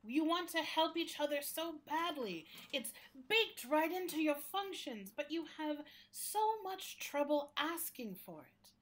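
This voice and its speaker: A woman's voice: high voice, a bit bright, full character voice. A woman playing an earnest, caring library building that talks like a person in a conversational radio play. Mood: sincere, helpful. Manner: earnest, reflective, politely opinionated. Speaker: actor playing the interview guest.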